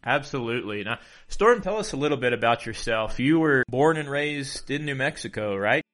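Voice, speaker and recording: A man's voice: medium pitch, lively intonation, normal speed, fairly bright tone. A man hosting a podcast interview in an enthusiastic manner. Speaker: podcast host.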